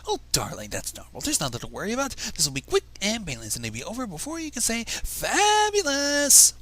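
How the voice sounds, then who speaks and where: A man's voice: very high-pitched, huge pitch swings, fairly quick, bright, forceful tone, pitched above the performer's own voice, full character voice. A man voicing a prim, theatrical female cartoon pony with a fussy, affected, genteel manner. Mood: breezy, reassuring.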